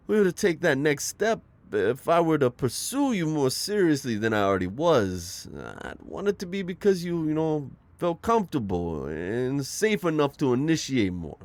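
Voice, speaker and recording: A man's voice: medium pitch, no echo. A man playing a charming, smitten mafia boss in an ASMR roleplay, flirting in a hesitant, rambling murmur. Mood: hesitant, sincere.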